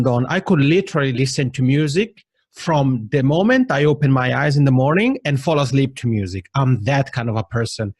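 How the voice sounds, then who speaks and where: A man's voice: no room sound, clean studio sound. A man in his thirties from Switzerland with an Italian accent, a guest talking casually in an interview. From Italian-speaking Switzerland. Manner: animated, jumps up in pitch on quoted thoughts. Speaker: podcast guest. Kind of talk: conversational interview.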